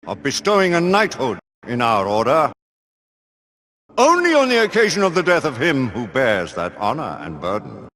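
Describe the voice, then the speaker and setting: An actor playing the solemn elder leader of a knightly order, speaking in grave, ceremonial oratory for a video game drama. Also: male voice, fairly low pitch, a bit bright, heavily acted. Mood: mournful, formal.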